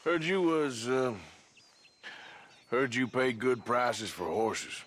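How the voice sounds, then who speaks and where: An actor playing a gruff, wry, weary American outlaw of the Old West, dry and blunt in a game cutscene. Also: male voice, mid-range voice, big pitch swings, slow, fairly bright tone, a little hiss or hum. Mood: dry, sceptical. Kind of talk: dramatic game cutscene acting.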